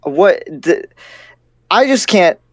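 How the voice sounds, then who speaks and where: Man acting a higher-pitched, skeptical demon slayer. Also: animated, no echo, studio quality, exaggerated voice. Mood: skeptical, worried. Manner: halting, incredulous, repeats himself. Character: skeptical, cautious male fellow demon slayer. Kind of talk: improvised roleplay acting in casual speech.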